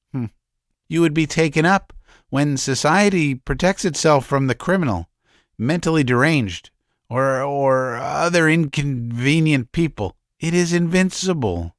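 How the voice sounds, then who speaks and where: A man voicing a gentle, guilty middle-aged doctor who speaks softly and stammers. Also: a little high, no room sound, clean studio sound. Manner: soft-spoken, stammering.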